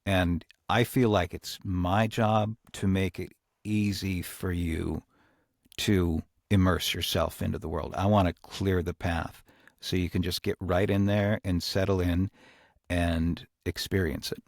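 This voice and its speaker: A low-pitched man talks through his storytelling craft in a podcast conversation, taking his time. He is thoughtful and halting as he hunts for the right words, and the recording is close and dry. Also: level tone, no room sound. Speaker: audio drama writer and creator. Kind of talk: reflective podcast discussion.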